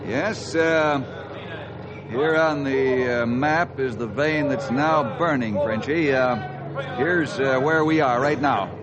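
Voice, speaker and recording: A man's voice: mid-range voice, takes it slowly, a crowd in the background, close to an everyday voice. A man playing a commanding, decisive American chief forest ranger in a radio adventure drama, giving authoritative orders. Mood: friendly.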